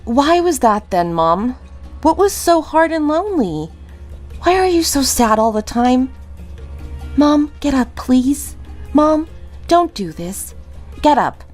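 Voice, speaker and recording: A woman's voice: high-pitched, big pitch swings, slow, pauses often, darkish tone, soft background music, heavily acted. An actress playing an exhausted, exasperated young mother in a drama, fretful and pleading with her parent. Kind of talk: dramatic dialogue.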